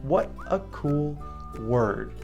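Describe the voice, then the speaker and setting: Slow, animated man hosting children's storytime. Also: fairly high pitch, soft background music. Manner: encouraging, asks the listener questions. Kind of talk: casual reflective talk to young viewers.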